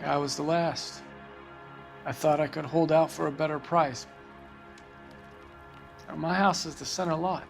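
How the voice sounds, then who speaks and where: A man's voice: on the high side, steady tone, measured pace, creaky, long pauses, soft background music. An actor playing a weary American family man who has lost his home, speaking in a subdued, hesitant, resigned way in a video game.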